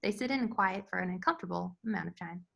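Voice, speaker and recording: A woman's voice: lowish voice, quick pace, gravelly, a bit bright. A woman reading stage directions as scripted narration for a screenplay table read. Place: remote virtual table read.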